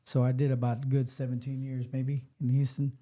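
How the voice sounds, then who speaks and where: A man's voice: medium pitch, monotone, normal speed, mellow. A musician from Corpus Christi, Texas, a man talking in a dry, joking, matter-of-fact way. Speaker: guest musician. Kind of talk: casual interview.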